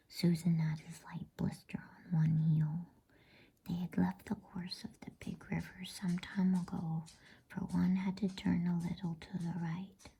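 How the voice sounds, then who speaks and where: A woman reads a storybook aloud as ASMR in a hushed, unhurried, breathy whisper meant to soothe. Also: fairly low pitch. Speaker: reader of bedtime stories.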